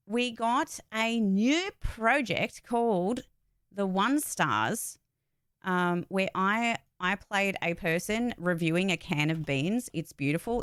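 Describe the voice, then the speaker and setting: A woman's voice: mid-range voice, fairly bright tone, dry recording, studio quality. A woman chatting casually and informally on a livestream, rambling a little as she gives updates. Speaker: voice actor and streamer giving updates.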